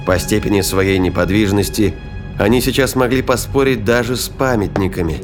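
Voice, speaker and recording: A man's voice: lowish voice, normal speed. A man narrating an audio drama in a dramatic, scripted storytelling style. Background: music.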